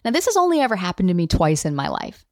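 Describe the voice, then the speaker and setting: A woman in her thirties hosts her own podcast, telling a personal story straight to the listener. Her voice sits a little low, and she slips into the voices of the people she quotes. The sound is dry and close, with no room around it. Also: fast, no echo. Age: 30s. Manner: animated, acts out quoted dialogue. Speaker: podcast host.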